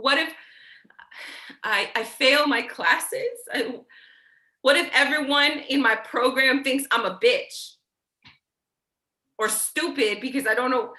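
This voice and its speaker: A woman playing an insecure, blunt, protective older sibling in a staged play reading, halting and repeating words. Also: sits high, pushed and bright, echoey room, heavily acted. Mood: insecure, pleading.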